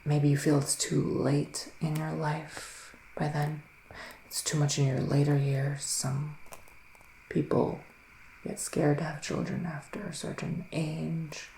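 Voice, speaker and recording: Slow, hushed psychic witch played by a man. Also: fairly high pitch, level tone, roomy sound, a little hiss or hum, poor audio. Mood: gentle, prophetic. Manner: slow, deliberate, breathy pauses with sighs. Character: solemn psychic male witch giving a reading. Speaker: ASMR performer. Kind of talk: ASMR roleplay, soft-spoken and half-whispered.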